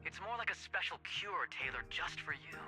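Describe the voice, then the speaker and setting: An actor playing a male medical specialist in a video game, explaining things in a measured, clinical way. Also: fairly high pitch, animated, music in the background, close to an everyday voice. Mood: professional, explanatory. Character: male medical specialist delivering test results.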